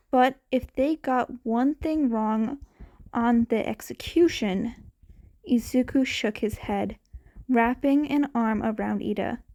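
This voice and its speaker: A woman narrates a story from a script in a fairly high voice. She takes her time, reading very slowly and deliberately, and now and then trips slightly over a word. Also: darkish tone. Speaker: podfic narrator. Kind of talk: scripted fan fiction narration, read aloud.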